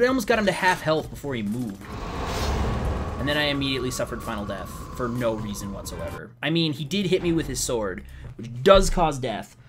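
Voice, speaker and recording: A slightly hoarse man giving casual, rambling gameplay commentary as a let's play host, sounding wry and exasperated. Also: medium pitch, background noise. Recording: game music behind the voice. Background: video game music.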